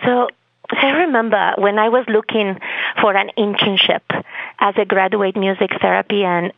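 A woman from Spain with a Spanish accent, speaking as an expressive arts therapist in an interview, earnest and affirming. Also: medium pitch, normal speed, gravelly, no echo. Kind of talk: podcast interview. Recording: phone-line audio, dry.

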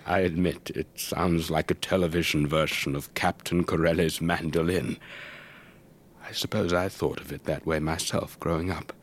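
An actor playing an elderly English retired air force officer, telling his story in a measured, wistful, old-fashioned way for a radio drama. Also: rumbling low, fairly even intonation. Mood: wry, nostalgic. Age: older. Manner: measured, old-fashioned, reflective, breathy trailing phrases. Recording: faint background noise.

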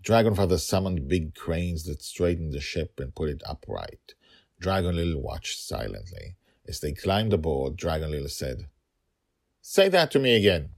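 A middle-aged man narrating a story podcast as its host and author, deliberate, with dramatic pauses and emphatic repetition. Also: very deep voice, expressive, gravelly, a little mellow.

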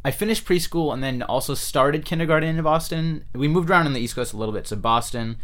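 A man's voice: on the high side, fast, a bit bright. Young guy from india, tamil is his first language, rambly chatty storytelling, lots of ums. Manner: rambling storyteller, many fillers and restarts. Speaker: guest. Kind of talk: casual interview chat.

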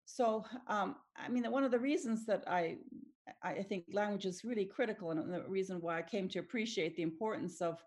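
A woman's voice: mid-range voice, a little fast, a little mellow. A middle-aged woman whose first language is Finnish, answering interview questions thoughtfully with frequent restarts and small laughs. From Tanzania. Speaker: academic researcher guest.

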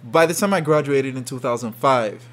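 A man from Saint Kitts, a podcast guest telling a story in lively conversation, often laughing and acting out what people said. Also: medium pitch, moderate pace. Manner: animated, laughs often, acts out quotes.

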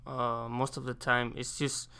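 A young man from Spain with a Spanish accent, an exchange student answering interview questions casually and haltingly, pausing to search for English words. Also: medium pitch, little pitch movement, bright, forceful tone, clear, resonant tone. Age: young adult.

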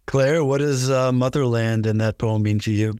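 A man hosting an interview, asking questions in a calm, deliberate way. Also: medium pitch, clean tone, no room sound, studio quality. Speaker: podcast host, interviewer.

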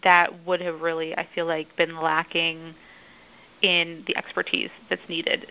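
A woman who writes for a museum, answering interview questions thoughtfully with many filler pauses. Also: low-pitched, lo-fi sound.

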